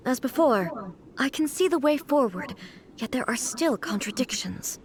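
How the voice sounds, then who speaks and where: A woman voicing a reclusive, contemplative female deity in a video game, speaking in a measured and articulate manner. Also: a little high, animated, a little hiss or hum.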